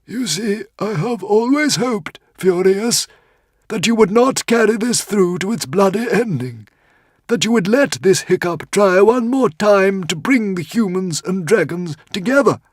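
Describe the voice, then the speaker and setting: A man voicing a small, nervous, ancient male sea dragon with a squeaky, wavering voice. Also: animated, a little slow, no echo, clean studio sound. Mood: pleading.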